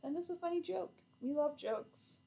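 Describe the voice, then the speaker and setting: A woman's voice: high-pitched, animated, normal speed, vocal fry, warm tone, dull audio. A woman co-hosting a podcast in casual chat, trading dry, teasing quips. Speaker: podcast co-host.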